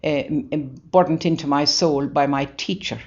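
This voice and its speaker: An older woman from Ireland with an Irish accent and a low voice tells her life story to an interviewer. She is earnest and halting, often restarting her sentences. The recording is dull and lo-fi. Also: darkish tone. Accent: Irish, Waterford. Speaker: nun. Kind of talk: interview reminiscence.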